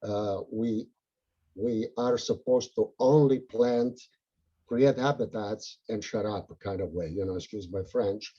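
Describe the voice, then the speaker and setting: A man's voice: lowish voice, a little slow, mellow, highs cut off. A man with an Italian accent, a local butterfly group organizer, talking earnestly and rambling with many fillers on a video call.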